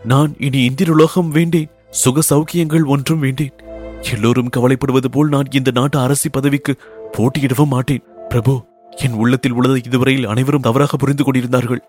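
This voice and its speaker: A man's voice: medium pitch, fairly even intonation, brisk, darkish tone, faint music behind, lightly acted. A man voicing a devoted, humble woman temple dancer, speaking in earnest, poetic and pleading lines for an audiobook. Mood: devoted, reverent.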